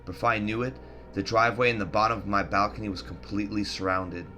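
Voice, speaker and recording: A man's voice: lowish voice, little pitch movement, fast, pushed and bright, soft background music. A man reading a first-person horror story in a tense, dramatic, scripted narration style.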